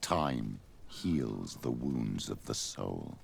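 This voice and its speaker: A man's voice: rumbling low, animated, drawn-out words, full character voice. An actor playing a sly, ambitious old clergyman burdened by guilt, speaking formally and theatrically in a video game. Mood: solemn. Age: older. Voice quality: gravelly, husky. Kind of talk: video game cutscene acting.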